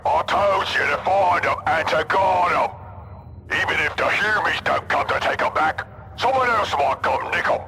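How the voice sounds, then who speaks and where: An actor voicing a brash, brutish ork warboss in a video game, with a gravelly Cockney bark and rough slang. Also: male voice, a little high, normal speed, a little hiss or hum. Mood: bossy. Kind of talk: video game cutscene acting. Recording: loud music under voice.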